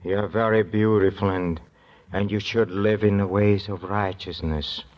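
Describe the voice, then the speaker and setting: An actor playing a mad, educated religious fanatic who preaches scripture haltingly and fervently in an old-time radio drama. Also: male voice, fairly even intonation, a little mellow, natural voice. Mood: hushed, fervent. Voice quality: low voice. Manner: hesitant, halting, fervent scripture-quoting speech. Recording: muffled vintage radio recording.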